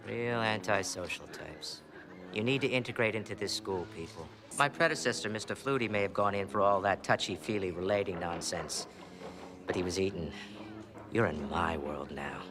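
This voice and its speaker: A petty authoritarian school principal, played by a man in a TV comedy-drama. The low voice is clipped and sardonic, full of menace and open disdain for children. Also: moderate pace, lightly acted. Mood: sardonic reprimand. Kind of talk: screen comedy-drama acting.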